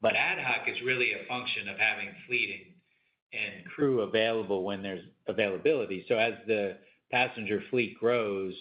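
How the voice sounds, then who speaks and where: A man answering questions as an executive on an earnings call, explaining in a measured, unscripted way with repeated words. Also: on the low side. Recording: dull, band-limited conference call audio.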